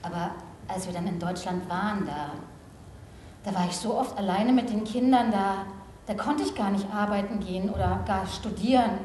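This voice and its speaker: A woman's voice: medium pitch, normal speed, dark tone, lightly acted. In a very echoey theatre with faint noise, an actress plays a Turkish immigrant mother. She is talkative and affectionate, speaking out to the house. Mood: wistful. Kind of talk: theatrical stage acting. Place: theatre stage with audience.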